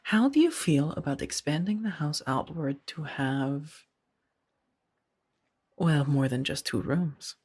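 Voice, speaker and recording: Husky, soft-spoken woman acting a gentle Jedi. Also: low voice, lively intonation, full character voice. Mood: teasing, warm. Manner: hesitant, halting, breathy murmur with sighs. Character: gentle, earnest young female Jedi, tenderly conflicted. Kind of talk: soft-spoken ASMR roleplay audio drama.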